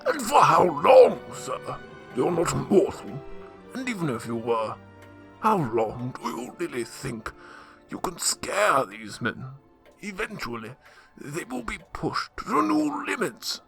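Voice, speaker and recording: A man's voice: fairly high pitch, huge pitch swings, slow, vocal fry, a bit bright, faint music behind, heavily acted. A man playing a dry, sardonic, loyal butler and mentor in a radio drama, speaking formally with wry admonishment. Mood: earnest admonishing.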